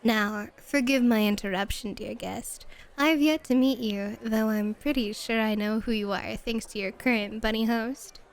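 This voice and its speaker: A woman playing a sly, playful club hostess in a flirty ASMR roleplay, teasing with coy, mock-scandalized, drawn-out phrasing. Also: a little high, steady tone, natural voice. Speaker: guest voice actor.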